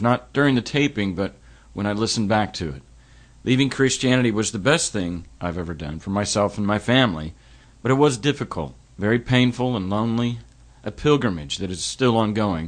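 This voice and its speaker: A middle-aged man hosting a podcast, talking in an earnest, reflective way that turns halting when he speaks of personal matters. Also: on the low side. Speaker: podcast host. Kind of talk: podcast intro and interview.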